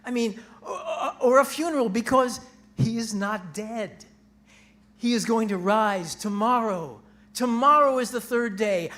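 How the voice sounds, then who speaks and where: A woman's voice: mid-range voice, fairly bright tone. Woman playing a devout, insistent disciple. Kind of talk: dramatic reading for a church play.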